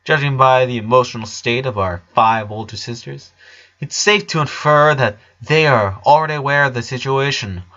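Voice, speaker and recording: Man voicing a clinical little genius girl, bright-edged. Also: medium pitch, moderate pace, dull audio, lightly acted. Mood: clinical, calm. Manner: formal, wordy, matter-of-fact scientific phrasing. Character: Lisa, precocious four-year-old genius girl, formal and clinical. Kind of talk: dramatic reading of cartoon fan fiction.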